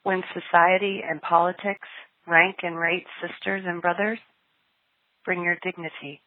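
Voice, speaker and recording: A woman with a fairly low voice leads a guided prayer. The recording is thin and narrow, like it came over a phone line. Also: flat delivery, unhurried, no echo. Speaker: prayer leader.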